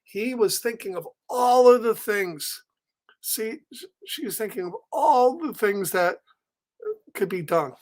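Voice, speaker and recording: A man hosting a story time, reading a picture book aloud gently with chatty asides and questions, sometimes dropping almost to a whisper. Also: high-pitched, animated, unhurried. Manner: gentle, conversational, often asks questions and drops into whispers. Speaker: story time reader and host.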